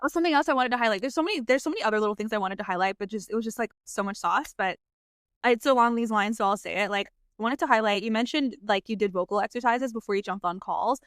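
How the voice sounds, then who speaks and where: A bubbly woman host chatting over a video call, her voice sitting a touch high. She gushes, laughs easily and keeps the talk upbeat. The sound is dry and close. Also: quick pace, fairly bright tone, dry recording. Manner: bubbly, effusive, laughs often. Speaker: podcast host and sales coach. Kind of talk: casual interview chat.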